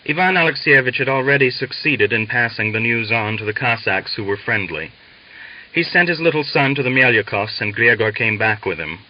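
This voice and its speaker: A man's voice: mid-range voice, animated, a little fast, dull audio. A man reading a novel aloud as scripted literary narration for an audiobook. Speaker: audiobook reader.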